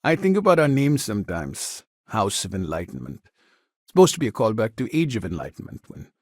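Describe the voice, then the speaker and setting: Lively-pitched earnest humanist counselor. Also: male voice, a little fast, dry recording, studio quality. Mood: calm, earnest. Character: earnest, secular humanist male counselor in a sci-fi city. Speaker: video game character. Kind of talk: video game dialogue, conversational.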